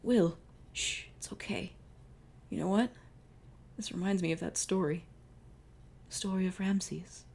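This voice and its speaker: A woman's voice: lowish voice, measured pace, gravelly, pauses often, a little mellow, pitched above the performer's own voice. A woman voicing a grieving young male pharaoh, tender and desperate, read out melodramatically. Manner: melodramatic reading of dialogue.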